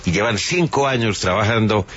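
A man's voice: on the low side, normal speed, a bit bright. A man hosting a radio interview, friendly and congratulating his guest. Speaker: radio host. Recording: dull lo-fi radio sound.

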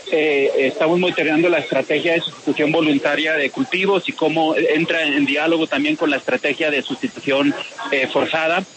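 A man from Spain with a Spanish accent speaks in a somewhat raised voice and lays out his analysis in a careful, measured way. He often stops on "eh" and restarts his sentences. The line has a clear hiss of noise behind him. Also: a little high, fairly even intonation. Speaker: peace process analyst. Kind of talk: phone-in radio interview.

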